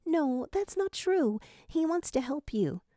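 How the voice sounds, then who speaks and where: A caring female spirit voiced by a woman from a fantasy story reading. She is very high in pitch and reassuring, with lively swings in intonation and a dry, close sound. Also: slow pace, dry recording. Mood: concerned. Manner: soft-hearted, reassuring.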